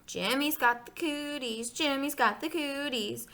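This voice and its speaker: Storybook girl of grade-school age, played by a woman: pushy, eager, sharp-edged, sing-song and swooping. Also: a little high, sing-song, moderate pace, voice pushed up. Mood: taunting. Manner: sing-song taunts and insistent pleading.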